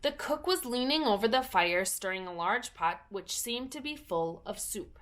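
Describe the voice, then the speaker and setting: A woman's voice: on the high side, expressive, normal speed, fairly bright tone. A woman narrating a children's storybook as a story reader.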